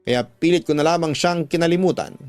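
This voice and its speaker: A man's voice: a little high, a little fast, a little mellow, no room sound. A man giving emotive, sorrowful scripted first-person narration for a radio drama. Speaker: radio drama narrator reading a letter sender's story. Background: faint background music.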